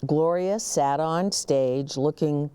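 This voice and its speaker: A woman hosting storytime, reading a children's picture book aloud expressively, with dramatic pauses and emphasis. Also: low voice, mellow, clear, resonant tone. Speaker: children's storytime reader and host.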